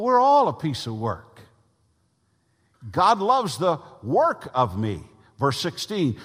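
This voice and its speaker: A man preaching a sermon to his congregation, with a big voice that swings between loud and soft emphasis. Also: fairly high pitch, slightly rough. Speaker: pastor. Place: church sanctuary. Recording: long reverb of a large church hall.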